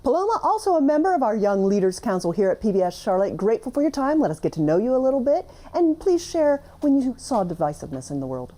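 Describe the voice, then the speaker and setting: A woman's voice: fairly high pitch, sing-song, fast, hardly any pauses, warm tone. A woman hosting a televised panel discussion, introducing guests and asking questions in an upbeat way. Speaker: host.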